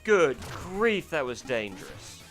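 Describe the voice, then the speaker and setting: A man's voice: very animated, slow, fairly bright tone. A man giving casual, rambling commentary over a game he is playing, full of fillers and restarts and with sudden startled yelps. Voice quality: fairly deep voice. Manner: rambling, filler-heavy, self-deprecating. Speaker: let's play host. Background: faint game music.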